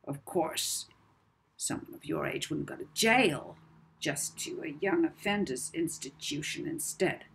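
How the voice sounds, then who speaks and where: A woman voicing a sinister, manipulative male blackmailer in a children's audiobook, soft-spoken and lazy, with deliberate pauses. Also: deep voice, vocal fry, slight background noise, low-quality recording. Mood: calm threat.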